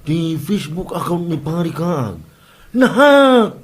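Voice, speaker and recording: Man acting a teasing, high-voiced Ilocano buddy. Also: big pitch swings, slow. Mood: gossipy. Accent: Filipino, Ilocano. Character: teasing male buddy who scolds a show-off. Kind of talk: comedy audio drama acting.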